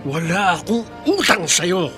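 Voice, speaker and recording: A man's voice: sits high, animated, a little slow, bright, forceful tone, soft background music, heavily acted. An actor playing a stern, unyielding male boss in a radio drama, authoritative, curt and scolding. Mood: angry, unyielding.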